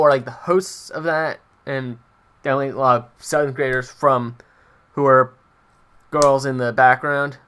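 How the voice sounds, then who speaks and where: A man's voice: fairly high pitch, a bit bright, smooth. A young adult man telling personal stories casually as a vlogger, hesitant and meandering, often breaking off mid-sentence. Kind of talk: casual rambling personal storytelling.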